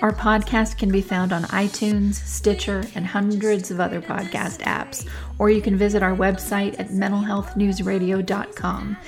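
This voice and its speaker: A woman hosting a podcast interview, conversational and affirming, steering the talk with brisk wrap-ups. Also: mid-range voice, flat delivery, vocal fry, faint music behind. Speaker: podcast host.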